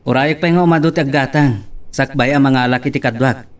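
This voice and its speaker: A man's voice: medium pitch, fairly quick, recorded from a distance, close to an everyday voice. A man with an Ilocano accent playing a young small-time rice trader in a romantic audio drama. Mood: earnest. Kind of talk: Ilocano radio-style love drama acting.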